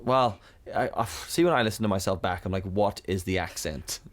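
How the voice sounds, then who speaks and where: Loud, boomy South African man. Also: fairly quick. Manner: swears freely, laughs often, self-mocking. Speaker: podcast co-host. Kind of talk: casual chat.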